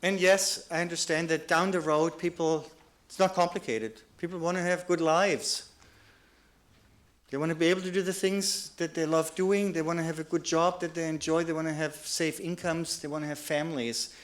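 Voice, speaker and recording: A middle-aged man with a German accent speaks to an audience in a lecture hall. His voice sits slightly high for a man. He is earnest and often repeats a word before going on. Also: fairly even intonation, moderate pace. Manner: earnest, with many restarts and repeated words. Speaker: psychiatrist giving a lecture. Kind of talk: conference lecture.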